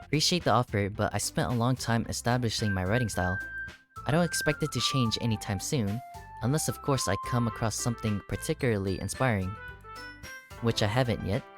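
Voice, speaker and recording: Woman reading as a low-voiced, snappy schoolgirl. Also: brisk, over music. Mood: haughty. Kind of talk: acted reading of game dialogue.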